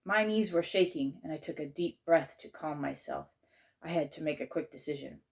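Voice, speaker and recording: A woman reading a children's chapter book aloud in measured, expressive narration. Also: sits low, gravelly.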